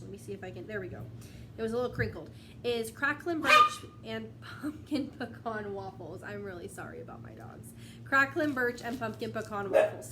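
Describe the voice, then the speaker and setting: A woman's voice: fairly high pitch, noisy background. Chatty woman with a stuffy nose. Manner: chatty, rambling, laughs often. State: slightly stuffy from a cold. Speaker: wax melt vlogger. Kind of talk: casual chat to camera. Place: at home, indoors. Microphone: tablet camera.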